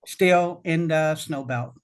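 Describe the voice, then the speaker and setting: A woman from Indiana hosting a podcast interview, reading a scripted introduction in a measured, welcoming way. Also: low-pitched. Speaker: podcast host.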